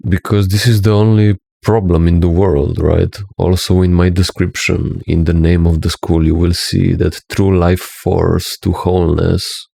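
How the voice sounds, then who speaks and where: Slow, gravelly low-voiced Slovenian man. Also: level tone, mellow, no room sound, clean studio sound. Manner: preachy, often adding a questioning "right?". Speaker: spiritual teacher. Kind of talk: earnest monologue to camera.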